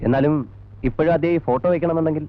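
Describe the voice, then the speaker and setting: An actor playing a brisk, insistent police detective in a 1980s film drama, probing in a businesslike way. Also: male voice, fairly high pitch, lightly acted. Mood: inquiring. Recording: dull old film soundtrack.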